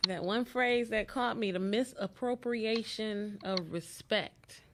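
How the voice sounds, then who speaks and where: A woman's voice: fairly high pitch, takes it slowly, a bit bright, slight background noise. A woman with an American accent chatting casually as a podcast host. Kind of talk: casual interview chat. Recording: dull lo-fi sound.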